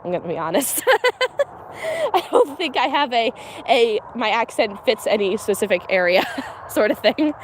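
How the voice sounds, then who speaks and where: A woman's voice: fairly high pitch, sing-song, measured pace. Woman, slight Pennsylvania accent, casual livestream chatter, outdoors by a pasture, light background noise. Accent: Pennsylvania, mixed American. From Pennsylvania, USA. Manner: chatty, laughs often, candid. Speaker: homestead livestreamer. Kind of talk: casual live commentary. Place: outdoors on a farm with cows.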